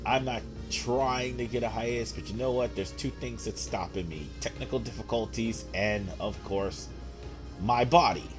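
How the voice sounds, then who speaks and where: A young man with a hoarse voice from a cold, giving rambling, laid-back commentary while he plays a game. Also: mid-range voice, normal speed. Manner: rambling, laid-back, frequent fillers and throat clearing. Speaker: gameplay commentator. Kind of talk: casual let's play commentary. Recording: game music playing behind.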